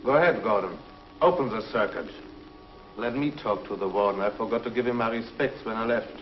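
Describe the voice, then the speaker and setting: A man's voice: lowish voice, fairly quick, slight background noise, natural voice. An actor playing a gloating, ruthless escaped convict in a 1950s TV drama, taunting his captives. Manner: taunting, sneering. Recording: dull lo-fi 1950s TV soundtrack.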